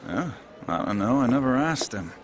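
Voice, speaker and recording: An actor playing an easygoing, wry drinking buddy in a game cutscene, casual. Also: male voice, mid-range voice, sing-song, moderate pace, close to an everyday voice. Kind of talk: video game cutscene acting. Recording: faint crowd and noise behind.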